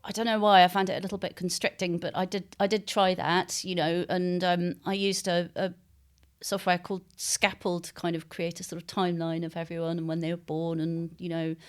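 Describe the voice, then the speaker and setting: A woman novelist being interviewed, speaking conversationally and thoughtfully, often pausing to search for words. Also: lowish voice, level tone, smooth.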